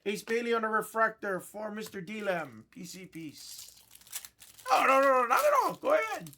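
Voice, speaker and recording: A man's voice: high-pitched, sing-song, normal speed, sharp tone, a little hiss or hum. A man from Oahu with a Hawaiian accent, hosting a live card break and chatting easily with viewers while he opens packs. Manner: chatty, teasing, easygoing banter. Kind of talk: live stream chat while opening cards.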